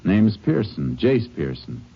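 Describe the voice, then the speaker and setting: Low-voiced, laconic Texas Ranger. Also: male voice, very animated, breathy edge, heavily acted. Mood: needling, taunting. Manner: laconic, deliberate, quietly taunting. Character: calm, needling male Texas Ranger working undercover. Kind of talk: 1950s radio drama acting. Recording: muffled, noisy 1950 radio recording.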